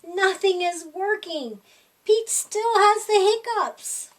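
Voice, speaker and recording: A middle-aged woman reads a children's picture book expressively, as if to small children at a library storytime. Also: very high-pitched. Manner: animated, slow, deliberate storytelling for small children. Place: small room indoors.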